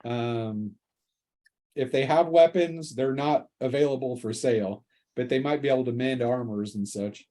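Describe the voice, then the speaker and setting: A man narrating a tabletop fantasy game as game master, improvising in a halting, hesitant way. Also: mid-range voice, warm tone.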